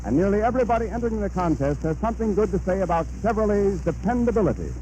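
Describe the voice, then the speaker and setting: High-pitched 1930s radio announcer man. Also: animated, rapid-fire, dark tone. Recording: noisy vintage 1930s radio recording.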